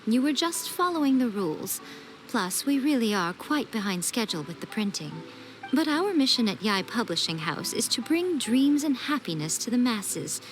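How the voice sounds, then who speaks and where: A woman's voice: on the high side, sing-song, moderate pace, soft background music, rough recording. A woman playing an elegant, self-assured noble lady in a video game, speaking in a coy, polished and leisurely way. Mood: playful, gracious.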